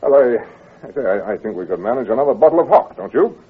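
An actor playing a genial, trusting gentleman heir in an old radio drama, husky and a little hesitant, on a muffled, tinny recording. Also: medium pitch, expressive, a little fast. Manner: hesitant, stammering, hearty.

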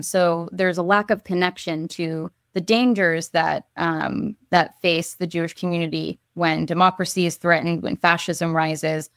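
A woman's voice: fairly low pitch, no echo, clean studio sound. A young woman answering an interview question on a video call, speaking earnestly with frequent ums and restarts. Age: young adult. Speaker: guest, advocate and essayist. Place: home room.

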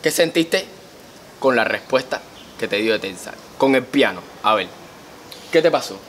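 A man's voice: mid-range voice, lively intonation, moderate pace, fairly bright tone. A young man with a Cuban accent chatting casually and comically to camera as a co-host, teasing and repeating his questions. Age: young adult. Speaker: prank show co-host. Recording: faint ambient noise.

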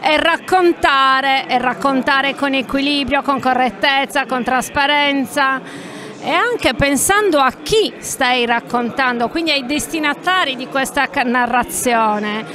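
A woman in her forties asks her questions emphatically, stressing and repeating key words. Her voice sits high and sounds bright and sharp. She speaks into a handheld mic at a book fair stand. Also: unhurried. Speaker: interviewer. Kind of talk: interview questions.

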